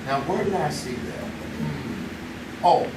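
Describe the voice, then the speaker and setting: A man playing a good-natured, naive young fellow who stalls and hesitates before he answers. His voice sits a little high. The sound is roomy theater audio with noise behind it. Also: slow. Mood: goofy. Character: naive young man JC, comic-book reader. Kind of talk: 1950s-style radio drama acted live on stage. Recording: echoey theater sound from a distant mic.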